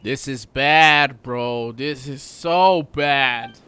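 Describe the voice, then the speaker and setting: A man giving casual gameplay commentary as a streamer, in short, relaxed-paced remarks. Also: medium pitch, very slow, slight background noise. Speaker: gaming streamer. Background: loud game music.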